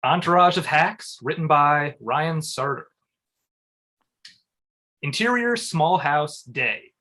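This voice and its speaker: A man's voice: on the high side, expressive, slow pace, bright, forceful tone. A man reading screen directions and comic narration at a live table read.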